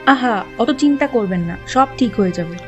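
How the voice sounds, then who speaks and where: A woman voices a kindly villager in a cartoon folk tale. She speaks in a fairly high, soothing, reassuring voice over faint music. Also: expressive, moderate pace, muffled recording, close to an everyday voice. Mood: comforting. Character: kindly villager comforting a crying man. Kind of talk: cartoon moral-tale acting.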